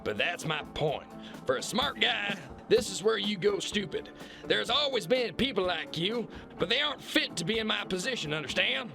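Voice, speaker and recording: A man's voice: high-pitched, gravelly, heavily acted. A man playing a bombastic, corrupt kingpin boss in an audio drama, blustering, mocking and condescending. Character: bullying, corrupt alpha-male kingpin boss, bombastic and scornful. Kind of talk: theatrical radio-play acting.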